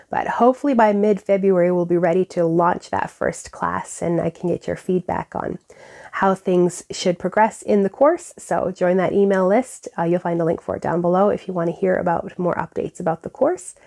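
A woman's voice: lowish voice, normal speed, creaky. A woman in her thirties with a stuffed-up nose, chatting casually to camera as host of a knitting podcast, recorded on a lapel mic at home. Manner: relaxed, chatty, frequent fillers. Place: home craft room with bookshelves.

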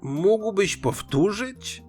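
Man as a sly, slow-talking fox. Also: sits high, expressive, faint music behind, pitched above the performer's own voice, heavily acted. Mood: sly, coaxing. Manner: soft, slow, coaxing. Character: sly fox feigning meek deafness. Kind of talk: children's fairy tale storytelling.

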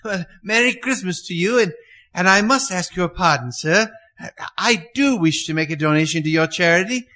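Man acting a high-pitched reformed old miser. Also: animated, sharp tone. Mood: joyful. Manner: halting, chuckling, exclamatory. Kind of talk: radio play acting.

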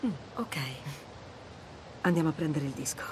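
A woman playing a wry, tough adventurer in dramatic video game dialogue, brisk and emotive. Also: low-pitched, lively intonation, noisy background. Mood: groggy, urgent. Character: wry, tough adventurer woman in her thirties or forties, sarcastic.

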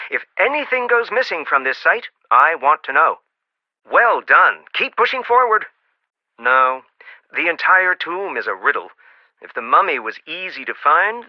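A wry, encouraging archaeologist, played by a man in a mystery game, with a lively rise and fall to his voice. The sound is dry and slightly dull, like lo-fi game audio. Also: mid-range voice, dry recording, lightly acted. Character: dry-witted male archaeologist, middle-aged, wry and encouraging. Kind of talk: video game voice acting.

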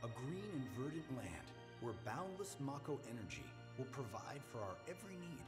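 Male game narrator played by an actor: solemn corporate storyteller, widely varied delivery, loud music underneath. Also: medium pitch, very animated, moderate pace, close to an everyday voice. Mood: solemn, grand. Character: male corporate tour guide narrating a grand company myth. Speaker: tour guide narrator. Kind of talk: scripted promotional narration.

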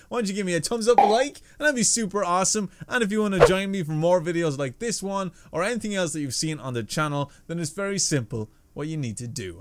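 A man's voice: high-pitched, dry recording. A man in his twenties giving casual, slangy, enthusiastic reaction commentary as a video host. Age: 20s. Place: small bedroom indoors.

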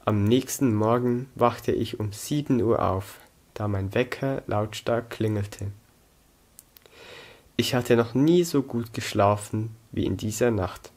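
A sixteen-year-old young man from Switzerland, reading a scripted story aloud in a careful, measured manner. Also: medium pitch, darkish tone. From Zug, Switzerland. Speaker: student reading own story. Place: small indoor room against a plain wall.

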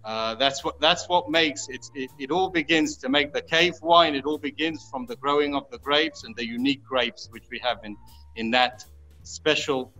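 Male winemaker, thirties, from Israel. Deliberate, stop-start explainer, lo-fi call sound with music underneath. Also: mid-range voice, bright, forceful tone. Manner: halting, pausing and repeating words. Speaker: winemaker, guest. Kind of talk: informal virtual tour explanation. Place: underground stone wine cave. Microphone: phone or laptop at arm's length. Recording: dull lo-fi video-call audio, music behind.

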